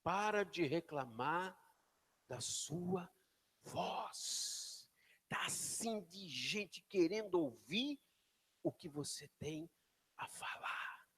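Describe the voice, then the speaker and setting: A pastor preaching a sermon in a church, shouting then dropping to near whispers as he calls the congregation to repeat after him. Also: high-pitched, lively intonation, very slow, long pauses, slightly rough. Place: church hall. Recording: long hall reverb.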